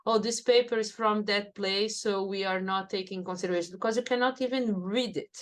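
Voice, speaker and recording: A woman's voice: medium pitch, steady tone, a little fast, a bit bright. A woman from Brazil with a Brazilian Portuguese accent, talking reflectively as a professor guest in an interview, often saying "you know". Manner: thoughtful, with frequent "you know" fillers. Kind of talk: conversational interview.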